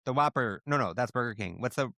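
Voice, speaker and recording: A man's voice: mid-range voice, fast talker, gravelly, bright, forceful tone, no room sound, clean studio sound. A man co-hosting a podcast, chatting casually and reading a comic story aloud. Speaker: podcast co-host.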